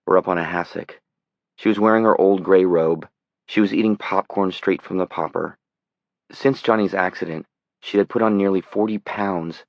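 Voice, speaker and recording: Male audiobook narrator, low register, dramatic scripted read, dry and slightly dull sound. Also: no echo.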